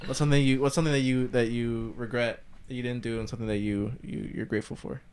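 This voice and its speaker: A man's voice: mid-range voice, flat delivery, quick pace, darkish tone, clear, resonant tone. A young man hosting a casual podcast chat, rambling with lots of fillers and asking his friends questions.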